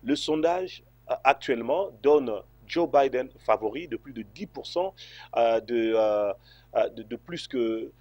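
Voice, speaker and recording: A man's voice: medium pitch, lively intonation, a little slow, a little mellow, no echo, lo-fi sound. A man from Congo answering interview questions, hesitant, with many fillers and restarts. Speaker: interview guest.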